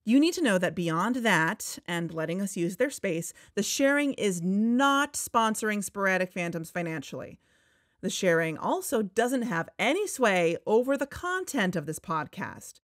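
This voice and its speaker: A woman's voice: medium pitch, sing-song, measured pace, no room sound, clean studio sound. A woman playing an earnest, candid podcast co-host, speaking in a conversational scripted style. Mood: firm, candid. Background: background music.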